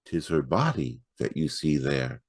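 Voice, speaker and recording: A man's voice: a bass voice, big pitch swings, measured pace, slightly rough, no echo, studio quality, full character voice. A man voicing a tender old grandmother in a poetry reading, soothing and patient as she teaches a child. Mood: patient, explaining.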